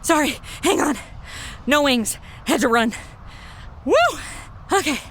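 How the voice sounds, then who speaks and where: A woman's voice: high voice, takes it slowly, a bit bright, slight background noise. A woman playing a brash, flippant young angel trainee with sassy modern slang in an audio comedy. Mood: sassy, exasperated. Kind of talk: comedy audio drama acting.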